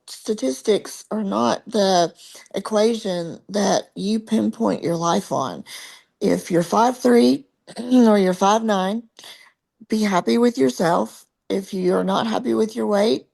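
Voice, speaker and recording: A woman co-hosting a casual podcast chat, hoarse and husky because she is losing her voice. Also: medium pitch, slow, darkish tone. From American South. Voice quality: husky, gravelly. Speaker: podcast co-host.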